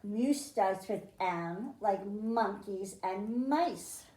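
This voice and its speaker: Woman, children's librarian, unhurried sing-song reading, roomy sound. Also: mid-range voice, expressive, mellow. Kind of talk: reading a picture book aloud to children. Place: library room.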